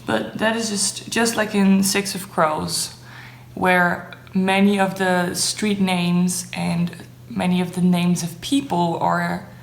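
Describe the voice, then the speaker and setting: Young woman, native Dutch speaker, enthusiastic casual book vlogger, slowish delivery, roomy echo. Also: lowish voice, little pitch movement, creaky. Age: young adult. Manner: enthusiastic, gushing about things enjoyed. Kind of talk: casual chatty vlog. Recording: echoey room, faint background noise.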